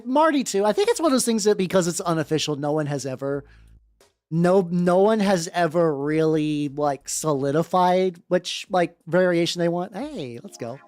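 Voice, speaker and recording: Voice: medium pitch, darkish tone, no room sound. A livestream host chatting casually in a rambling, slangy, sweary way that often repeats phrases. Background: background music.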